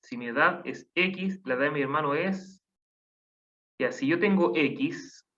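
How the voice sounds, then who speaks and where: A man's voice: on the high side, a little slow, fairly bright tone. A man teaching a math class online, patiently counting aloud and asking the class questions. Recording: dull lo-fi online class audio.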